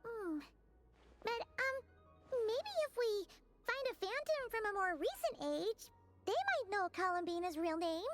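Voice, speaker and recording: Tiny squeaky, excitable fairy girl. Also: unhurried, heavily acted. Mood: curious. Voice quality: squeaky, childlike. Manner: exclamatory, inquisitive. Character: small, excitable, childlike floating fairy companion girl. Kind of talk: video game character acting. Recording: background music.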